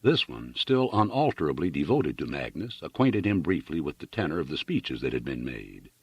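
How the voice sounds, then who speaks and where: Guy narrating an old novel, low voice that swings up and down a lot, kinda theatrical, dull roomy recording. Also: very animated, moderate pace, a little mellow, breathy edge. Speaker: audiobook reader. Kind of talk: dramatic literary narration.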